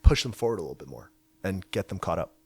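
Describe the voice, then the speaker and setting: Low-voiced young man, dry close sound. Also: fast, breathy edge, no room sound. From China. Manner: relaxed, reflective, uses many fillers. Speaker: hacker house founder. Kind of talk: casual interview chat. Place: house in Waterloo.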